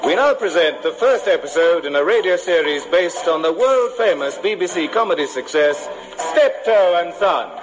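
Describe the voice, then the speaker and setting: A man's voice: fairly high pitch, lively intonation, moderate pace, few pauses, loud music behind the voice, rough recording. A man announcing in vintage British broadcast style, with a British accent, on an old radio programme.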